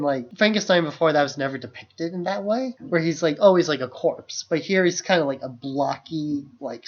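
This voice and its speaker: A man's voice: high-pitched, muffled recording. A man co-hosting a podcast, chatting casually about films in loose phrasing peppered with "like". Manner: loose, filler-heavy phrasing with frequent "like". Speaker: podcast co-host. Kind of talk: casual film discussion.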